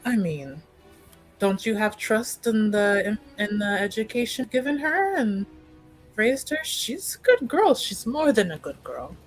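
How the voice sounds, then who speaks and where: Woman playing a slow, hesitant noble niece. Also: mid-range voice, sing-song, faint music behind, rough recording. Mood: fond, earnest. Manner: hesitant, softly deliberate phrasing. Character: reserved, thoughtful noble niece named Ade. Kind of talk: in-character tabletop roleplay.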